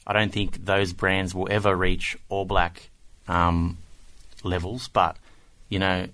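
Low, flat-voiced male podcast host. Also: takes it slowly, no room sound. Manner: easygoing, filler-heavy questions. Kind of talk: casual podcast interview.

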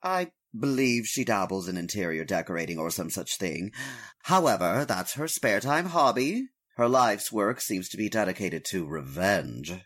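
An actor as a husky, fussy, smug gentleman collector. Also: lowish voice, very animated, moderate pace, heavily acted. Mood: sly, knowing. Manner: fussy, precise, affected, drawling for effect. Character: urbane, smug gentleman stamp collector who relishes gossip. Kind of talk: old-time radio drama acting.

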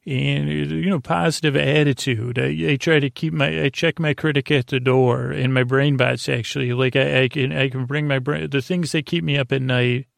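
Creaky-voiced man, soothing rambling bedtime host. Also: medium pitch, steady tone, dry recording, clean studio sound. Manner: soothing, lulling, friendly; meandering, halting, with stammers and trailing tangents. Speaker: podcast host. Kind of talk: rambling bedtime sleep podcast monologue.

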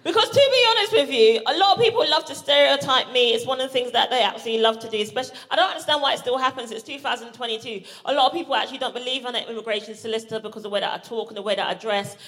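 A woman's voice: fairly high pitch, rapid-fire, hardly any pauses, a bit bright. A woman from Barbados with a South London accent, doing a brash, punchy stand-up set at a live comedy show. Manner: brash, punchy, playful with the crowd. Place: live comedy venue with audience. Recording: live show with audience laughter.

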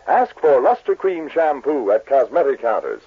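A man's voice: medium pitch, big pitch swings, moderate pace, husky, no echo, narrow, tinny audio. An American man reading a 1940s radio advertisement with an emphatic salesman's delivery on an old broadcast recording. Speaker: commercial announcer.

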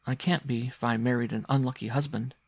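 Man voicing a bitter, disappointed mother, slightly raised pitch. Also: fairly even intonation, fairly quick, gravelly, breathy edge, no echo. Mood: resigned, bitter. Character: bitter, disappointed mother speaking to her child. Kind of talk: literary audiobook character voice.

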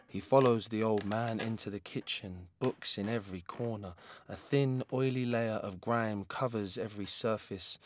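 A man narrating an audio drama in a deliberate, intense, dramatic scripted style. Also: lowish voice, a little hiss or hum.

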